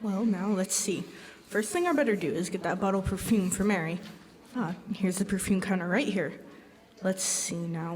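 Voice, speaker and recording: A woman's voice: on the low side, a little mellow, natural voice. A woman playing a mild, easily flustered middle-aged father, musing aloud in classic radio comedy style. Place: school theatre stage. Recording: live stage recording in reverberant hall.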